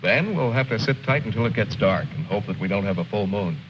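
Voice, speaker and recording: Animated, articulate army lieutenant played by a man. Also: lowish voice, lightly acted. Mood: composed, wry. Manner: articulate, measured, wry. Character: composed, civil army lieutenant, wryly keeping his men in order. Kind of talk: 1950s war film acting. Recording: noisy, dull old film soundtrack.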